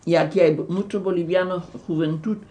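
An elderly woman with a German accent, recalling her past in an interview, halting and repeating words as she searches for them. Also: on the low side, steady tone, dark tone. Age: older. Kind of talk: recounting memories in an interview.

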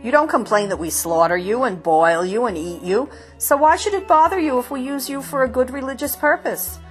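A woman's voice: sits high, brisk, soft background music, pitched above the performer's own voice, natural voice. A woman doing pompous village householders. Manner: pompous, lecturing. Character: self-important pious village householders, men.

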